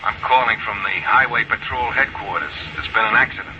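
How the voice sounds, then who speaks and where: A man playing a sombre highway patrol officer in an old radio drama, halting and reluctant in delivery. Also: pushed and bright. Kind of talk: 1940s-50s radio drama acting. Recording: muffled old radio recording, slight noise.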